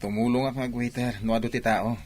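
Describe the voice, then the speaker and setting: An actor with an Ilocano Filipino accent playing a scheming young driver and kept lover in a radio drama. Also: male voice, mid-range voice, steady tone, quick pace, darkish tone, a little hiss or hum, lightly acted. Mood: sweet-talking.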